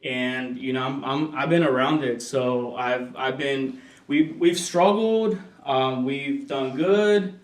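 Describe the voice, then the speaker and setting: A man's voice: medium pitch, slow, few pauses. Young man from El Salvador, roomy. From El Salvador and Honduras. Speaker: podcast guest, realtor. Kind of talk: casual interview answers. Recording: roomy sound, voice from a distance.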